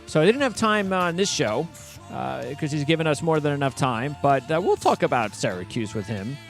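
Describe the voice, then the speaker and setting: A man with a fairly high voice hosts a sports radio show. He talks casually and loosely, doubling back on his words and rambling from point to point, with music playing behind him. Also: big pitch swings. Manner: rambling, halting, repeats words. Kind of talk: casual interview and show patter.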